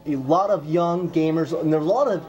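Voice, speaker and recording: A man's voice: on the high side, brisk, over a noisy crowd. A man interviewing guests in an enthusiastic, casual way, often saying wow. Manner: enthusiastic, lots of wows.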